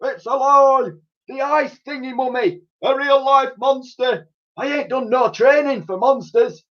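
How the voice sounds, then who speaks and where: A man voicing panicking, indignant policemen in a children's storybook, high and shrill, on a lo-fi recording. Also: unhurried. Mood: panicked. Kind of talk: storybook character voices. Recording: dull, lo-fi recording.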